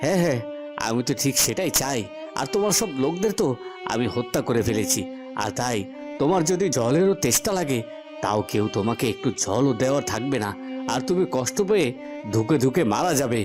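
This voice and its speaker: Man playing a resolute, declamatory young prince, medium pitch. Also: animated, brisk, music in the background, lightly acted. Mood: vengeful. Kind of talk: melodramatic cartoon voice acting.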